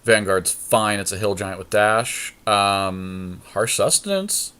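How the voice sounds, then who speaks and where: A man's voice: on the low side, steady tone, takes it slowly, pushed and bright, clean tone. A man co-hosting a podcast, giving casual, informal commentary on a card game draft as he thinks aloud.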